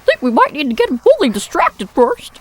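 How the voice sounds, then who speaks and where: A woman voicing a cheeky, brash little character with a squeaky voice in an amateur film dub. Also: huge pitch swings, brisk, noisy background. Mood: confident.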